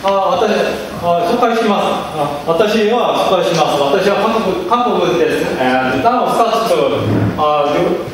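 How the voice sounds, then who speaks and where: A young man from Korea with a Korean accent, giving a halting English conference talk with a handheld mic, searching for words. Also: high voice, expressive. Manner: hesitant, many fillers and restarts, searching for words. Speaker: conference presenter. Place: large dim conference room. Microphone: handheld mic, far camera. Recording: very echoey hall, far from mic, noisy.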